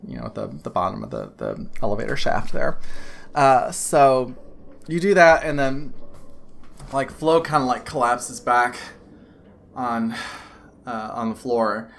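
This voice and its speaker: A woman running a tabletop game as game master, narrating loosely with lots of fillers and laughing easily. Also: a bass voice. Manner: loose and chatty, many fillers, laughs often.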